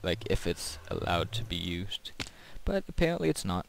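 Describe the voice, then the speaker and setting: A man's voice: sits low, very animated, moderate pace, creaky, a little hiss or hum. A man giving casual gameplay commentary as a video host, rambling and thinking aloud.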